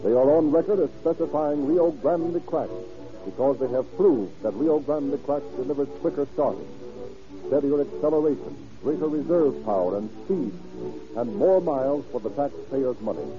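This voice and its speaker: A man's voice: mid-range voice, animated, moderate pace, music in the background. An American man announcing and narrating a scripted 1930s radio program in a formal, declamatory manner. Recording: dull old radio broadcast recording.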